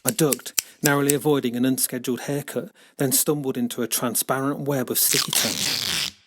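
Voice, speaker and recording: A man reading a children's story as scripted narration, expressive and dramatic in his delivery. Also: mid-range voice, normal speed, background noise. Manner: expressive, dramatic character shifts. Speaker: author reading own book.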